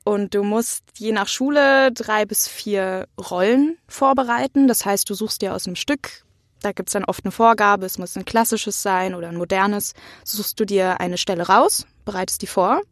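A woman's voice: medium pitch, moderate pace, no room sound, studio quality. A young woman chatting casually as a podcast host being interviewed, nervous and a little giggly. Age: young adult.

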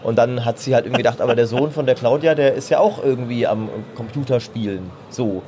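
A man's voice: mid-range voice, quick pace, warm tone, slight background noise. A man in his thirties chatting casually and playfully as a games journalist on a trade fair floor, with many fillers and restarts. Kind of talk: casual chat.

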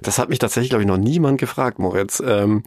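A man's voice: lowish voice, fast, a little mellow, no room sound. A man of forty, raised bilingual with English as his first language, chatting as a podcast guest with a joking, self-deprecating manner. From Germany, Bavaria. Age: 40s. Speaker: podcast guest, lawyer and actor. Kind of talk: casual interview chat.

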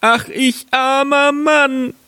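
A man's voice: medium pitch, big pitch swings, no room sound, heavily acted. A man voicing a hapless, stubborn wagon driver who laments in a fairy tale. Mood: wailing despair. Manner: loud, drawn-out lamenting. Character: hapless, wailing male wagon driver, hot-tempered and stubborn.

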